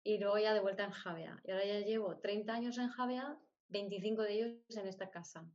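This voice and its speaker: A dull, lo-fi recording of a middle-aged woman with a mid-pitched voice. She is an interview guest telling personal stories, stacking short phrases and repeating them for emphasis. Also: brisk, darkish tone, a little hiss or hum. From born in Spain, grew up moving between countries. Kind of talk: personal storytelling in conversation. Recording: dull, lo-fi audio.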